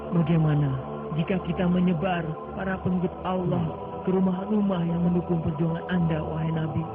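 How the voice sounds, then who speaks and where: A man's voice: high voice, steady tone, a little fast, natural voice. A man playing an earnest, reverent disciple of a prophet in a religious cartoon, speaking respectfully. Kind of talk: dubbed religious cartoon acting. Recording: dull lo-fi audio under loud music.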